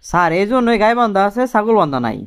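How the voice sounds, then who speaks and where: A man voicing a cheeky, quick-witted cartoon job candidate who explains things deferentially in colloquial Dhaka-accented Bangladeshi Bengali. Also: high-pitched, very animated, fairly bright tone, smooth, full character voice. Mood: confidently explaining. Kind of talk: comic cartoon dialogue.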